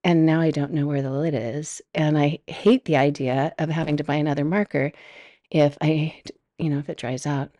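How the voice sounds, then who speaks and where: A middle-aged woman with a deep voice, hosting a casual solo podcast from a room at home, chatty and rambling with frequent laughs. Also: fairly even intonation, moderate pace, warm tone, no room sound. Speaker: podcast host.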